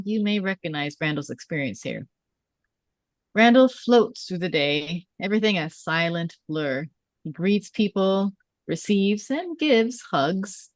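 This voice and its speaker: A woman reading screenplay stage directions in a measured way, as scripted narration at a table read. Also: mid-range voice, no room sound.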